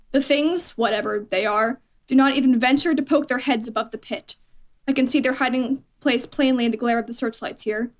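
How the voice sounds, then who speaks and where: A woman's voice: fairly high pitch, close to an everyday voice. A woman playing a confident army captain giving an assured field report in a radio play. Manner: assured, dismissive of danger.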